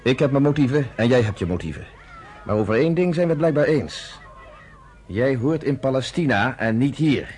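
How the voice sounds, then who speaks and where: A man's voice: medium pitch, animated, normal speed, a little mellow, lightly acted. An actor playing a firm, shrewd, commanding male leader in a radio drama. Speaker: underground organizer.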